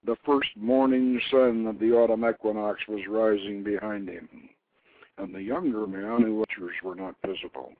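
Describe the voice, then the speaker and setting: A man's voice: lowish voice, fairly even intonation, no room sound. Phone-like male storyteller, theatrical delivery. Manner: theatrical, grandiose, deliberate phrasing. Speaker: storyteller, host reading his own novelette. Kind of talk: dramatic scripted narration of an ancient legend. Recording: very dry internet radio, narrow phone-like band.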